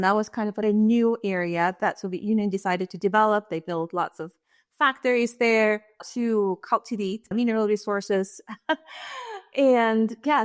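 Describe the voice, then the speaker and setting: A woman's voice: fairly high pitch, dry recording. Woman, forties, from Russia, interview guest, thoughtful, dry room. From Russia and Kazakhstan. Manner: thoughtful, laughs lightly between points. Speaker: guest, leadership strategist. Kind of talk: interview conversation.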